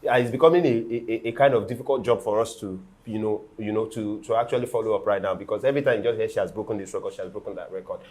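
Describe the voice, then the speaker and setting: A Nigerian man with a Nigerian accent hosting a casual sports talk show, animated and teasing. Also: fairly low pitch, very fast, few pauses. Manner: animated, teasing, laughs often. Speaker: host. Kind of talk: casual studio sports chat.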